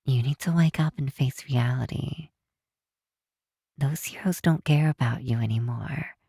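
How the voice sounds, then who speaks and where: A woman playing a possessive supervillain captor in an intimate ASMR roleplay, speaking in a measured, softly menacing yet reassuring way. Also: very deep voice, vocal fry, husky, dry recording, clean studio sound, full character voice. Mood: firm, insistent. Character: possessive female supervillain captor, coaxing and protective. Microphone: close microphone in a dry space.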